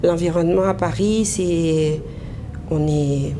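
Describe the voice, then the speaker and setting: A woman's voice: fairly low pitch, slow. A Congolese woman, an interview guest, answering in a hesitant, casual way with many fillers. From Congo (DRC). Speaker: interview guest, business owner in humanitarian work. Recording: noisy TV interview recording.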